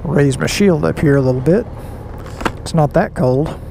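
A man's voice: a little high, normal speed, gravelly. A middle-aged man vlogging as he rides a motorcycle, recorded on a helmet mic, telling a story in a folksy, rambling way with lots of asides. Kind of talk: casual riding vlog monologue. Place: outdoors riding a motorcycle on city roads. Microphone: helmet mic, helmet-mounted camera. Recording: road and wind noise from riding.

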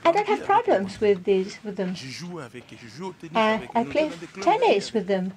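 A woman giving a live simultaneous interpretation of a conference speech, in halting, piecemeal phrases with repeated words. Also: medium pitch, big pitch swings, crowd noise.

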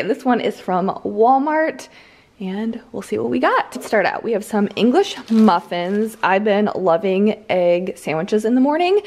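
An American woman in her thirties talks to her viewers in a casual, conversational way. Her voice sits at a middle pitch. She sounds like a lifestyle vlogger recording inside her house. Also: a little mellow. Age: 30s.

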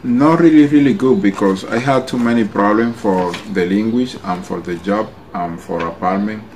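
A man from the Republic speaks English. He answers interview questions in short, halting phrases, in a voice that sits a bit low. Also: a little mellow, slight background noise, low-quality recording. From Dominican Republic. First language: Spanish. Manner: halting non-native English.

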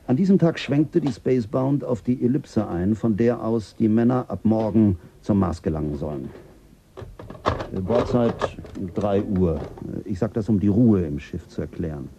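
A man's voice: lowish voice, expressive, normal speed, highs cut off, noisy background. An actor playing a hesitant male mission officer giving a report in a radio play. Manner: hesitant, full of ums.

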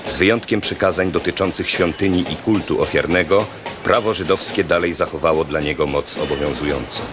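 A man narrating a historical radio drama in a measured, grave manner. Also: deep voice. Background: music.

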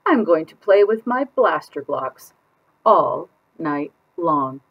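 A woman voicing a stubborn, defiant little girl from a picture book, emphatic and drawn-out in a sing-song way. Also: sits low, big pitch swings, creaky, slightly rough, highs cut off, heavily acted. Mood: gleeful, determined.

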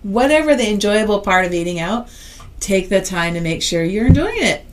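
A middle-aged woman co-hosting a podcast in relaxed conversation. She agrees readily, sprinkles in fillers, and speaks at an ordinary pitch. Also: a little fast. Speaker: podcast co-host. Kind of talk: casual chat.

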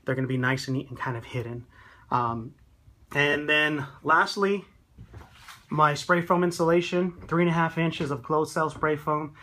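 A man with a slightly high voice gives a casual, chatty tour of his half-built tiny house and points things out as he goes. He talks into a handheld phone camera inside a small wooden room. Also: normal speed, bright, forceful tone. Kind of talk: casual walkthrough vlog.

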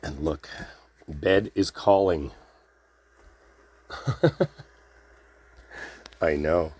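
A man playing an affectionate, easygoing boyfriend in an ASMR roleplay, speaking softly and drowsily in an intimate, unhurried way. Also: extremely low pitch, husky, heavily acted. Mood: sleepy, affectionate.

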